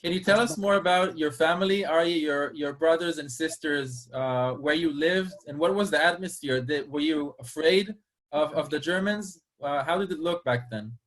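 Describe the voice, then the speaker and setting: A man in his thirties hosts an online interview over a video call. His voice sits a little higher than most men's as he introduces and questions his guest. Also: moderate pace, fairly bright tone, poor audio. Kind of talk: moderating a webinar interview. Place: small plain room indoors. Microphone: video call, computer microphone.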